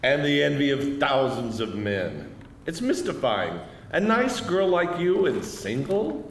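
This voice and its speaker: A man's voice: a little high, very animated, unhurried. Man playing a flirty cafe regular, echoey and distant. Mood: flirtatious. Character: smooth-talking, self-satisfied male cafe regular, flattering flirt. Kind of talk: live screenplay table read. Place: echoing indoor venue at live reading. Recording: echoey room, distant mic, faint noise.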